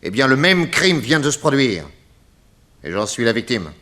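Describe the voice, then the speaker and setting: An actor playing an assured, courteous private detective in an articulate, theatrical 1960s French radio serial style. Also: male voice, mid-range voice, big pitch swings, moderate pace, pushed and bright. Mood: insistent. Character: famous male private detective, assured, indignant under accusation.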